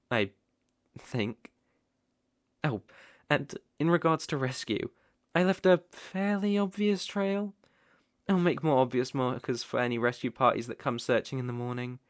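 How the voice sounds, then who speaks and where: A devoted, possessive British stalker, acted by a man for an intimate ASMR roleplay. His mid-range voice is tender and doting with a wry edge, moving in halting phrases. The sound is dry, with no room to it. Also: mid-range voice, no echo, lightly acted. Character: devoted, possessive male stalker, outdoorsy and softly protective.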